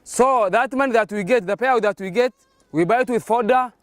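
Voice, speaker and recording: A man speaks in an unscripted testimonial interview. His voice is strikingly high, and the recording is dry. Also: a little fast, dry recording. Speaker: livestock keeper giving testimony.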